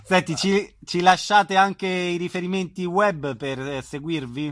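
Higher-pitched male radio host, dry sound. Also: steady tone, unhurried, fairly bright tone, no echo. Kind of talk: casual, conversational interview.

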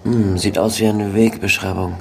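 A lowish, gravelly male police sergeant in a radio drama. He is the detective's sidekick, plain and matter-of-fact. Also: fairly even intonation, normal speed, a little hiss or hum.

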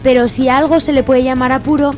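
A woman's voice: sits high, flat delivery, brisk. A woman reading scripted narration for a radio soap, with music behind her. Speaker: narrator of a community radio serial.

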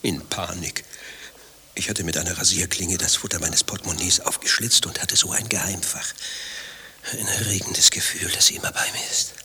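A man's voice: low-pitched, normal speed, pushed and bright, in a whisper, full character voice. An actor playing an anxious, grumbling married man with a secret lover, telling his troubles in fretful clipped phrases in a satirical radio play. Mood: furtive, low. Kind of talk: dialogue-driven satirical radio play acting.

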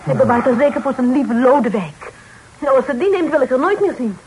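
An actress playing an outspoken, tart young daughter of a genteel family in a period radio play. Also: female voice, sits high, lively intonation, brisk, darkish tone, poor audio. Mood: indignant. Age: young adult. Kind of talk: period radio drama acting. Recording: old radio recording with faint noise.

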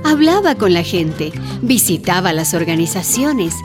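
A woman's voice: lowish voice, big pitch swings, unhurried, over music. A woman narrating a radio drama in a composed, scripted storytelling style.

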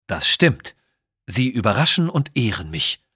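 A man's voice: mid-range voice, very animated, a little slow, sharp tone, breathy edge, dry recording, studio quality, full character voice. A man voicing a cautious, honourable Tibetan Sherpa mountain guide who speaks formally and earnestly in an audio story. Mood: respectful.

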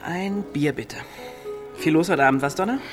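A man's voice: a little high, normal speed, over music, lightly acted. A man playing a cocky, mischievous teenage village boy with an offhand, conspiratorial manner in a radio drama. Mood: casual, cocky. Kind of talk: naturalistic radio drama dialogue.

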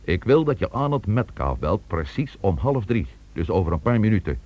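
A commanding police chief, husky and rather low. He gives terse orders with animated, swooping intonation in a radio drama. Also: moderate pace, muffled recording. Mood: insistent, commanding. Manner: terse, authoritative. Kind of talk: Dutch radio play acting.

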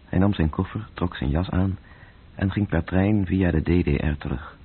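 A man narrating a literary radio drama in terse, measured phrases, with a gravelly, breathy voice. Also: deep voice. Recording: muffled, tinny old radio-play recording.

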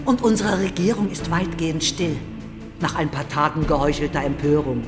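An actress playing an outraged, idealistic young journalist making a theatrical, impassioned plea in a radio play. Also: female voice, deep voice, steady tone, normal speed, close to an everyday voice. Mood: earnest, indignant. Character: outraged young journalist woman, passionate idealist pleading to a courtroom. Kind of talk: German radio play monologue. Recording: reverberant hall, music underneath. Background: music.

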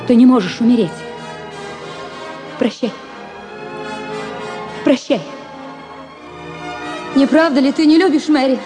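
An actress playing a heartbroken married woman reading her farewell letter to a lover in a radio drama, in a hushed, slow, confessional way. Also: high voice. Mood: desperate pleading. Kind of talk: Russian literary radio drama, letter monologue. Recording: music under the voice. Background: music.

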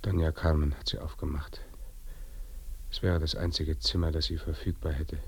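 An actor playing an uneasy newcomer in a ghostly radio play, questioning in a gravelly, husky voice. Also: male voice, extremely low pitch, flat delivery, moderate pace, full character voice. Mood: unsettled. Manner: questioning, unsettled. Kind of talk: radio drama dialogue.

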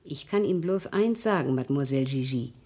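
An actress playing a cynical, weary working-class maid in a 1970s radio play, grumbling in an earthy, sardonic way. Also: female voice, rumbling low, animated, fast talker, warm tone.

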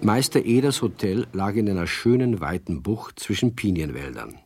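A man narrating a children's radio play in a measured storytelling manner. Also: fairly low pitch, lively intonation, vocal fry, a little mellow, breathy edge, dry recording.

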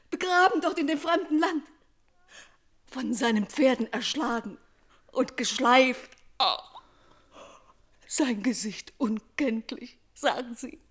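An actress playing an anxious, soft-hearted young princess in grief, plaintive and emotional in a classical radio tragedy. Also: female voice, high-pitched.

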